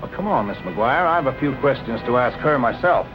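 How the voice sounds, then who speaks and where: An actor playing a steady, authoritative, matter-of-fact police captain in a vintage film noir. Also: male voice, medium pitch, brisk, close to an everyday voice. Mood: polite, firm. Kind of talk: 1940s film noir acting. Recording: muffled old film soundtrack, music underneath.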